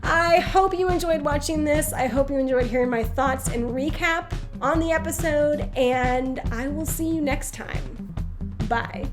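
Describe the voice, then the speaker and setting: A woman giving a casual spoken review as a vlogger, chatty and frank, congested and clearing her throat. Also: high-pitched, a little slow, over music. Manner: chatty, laughs often, frank opinions.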